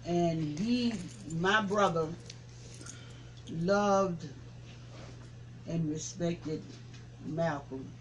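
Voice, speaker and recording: A man in his seventies, a reverend, recalling the past in an interview with a preaching cadence that rises to forceful emphasis. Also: a little high, drawn-out words, long pauses, slight background noise, poor audio. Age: 70s. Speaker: reverend, civil rights veteran. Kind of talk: interview reminiscence.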